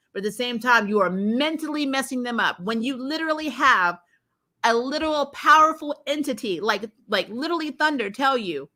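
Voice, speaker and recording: A woman with a bright, sharp-edged voice at an ordinary pitch hosts a casual livestream. She reacts with animated opinions and stresses her points by repeating words. Her delivery is chatty and informal, aimed straight at her viewers. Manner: animated, opinionated, quick to laugh. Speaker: host of a TV show review livestream. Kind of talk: casual livestream chat, reacting to episodes and reading viewer comments.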